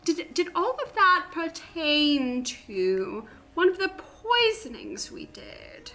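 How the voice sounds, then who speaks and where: A woman's voice: high-pitched, wildly swooping pitch, slow, bright, forceful tone, exaggerated voice. A woman in an improvised comedy radio play, acting a vain, regal mother who gushes, agrees repeatedly and insists on her first name. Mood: airy, self-pleased. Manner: gushing, affirming, repeats herself.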